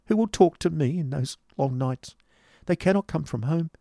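A timid, broken-spirited storybook youth, speaks in a high, strained voice. He stammers and pleads in anguish, sounding like a frightened drudge. The sound is close and dry. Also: takes it slowly, creaky, warm tone, no echo, exaggerated voice. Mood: terrified, hopeless.

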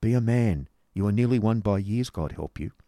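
A kindly young gentleman from a storybook, played by a male narrator, speaks with soft, earnest concern. His manner is soothing and gentle, and the recording is dry and close. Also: fairly low pitch, animated, moderate pace, no echo.

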